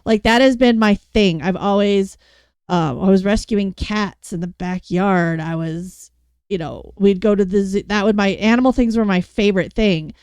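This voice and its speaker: A woman answering interview questions on a casual podcast, giggly and halting, often restarting her sentences. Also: medium pitch, a little mellow, clear, resonant tone, dry recording, studio quality. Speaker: podcast guest.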